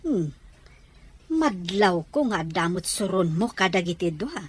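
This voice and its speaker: A woman playing a plain-spoken, obliging village aunt in a family radio drama, speaking in a measured way. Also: on the low side, sing-song, breathy edge, heavily acted. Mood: reflective.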